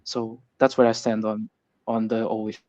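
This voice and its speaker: Halting Portuguese-accented man, even pitch. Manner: halting, repeats words while searching for phrasing. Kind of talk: casual political discussion. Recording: muffled, echoey voice-chat audio.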